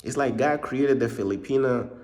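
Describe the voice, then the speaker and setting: A man's voice: mid-range voice, normal speed, a little mellow. Slangy young man from Uganda. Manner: slangy, repetitive, peppers speech with bro. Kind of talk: casual vlog monologue.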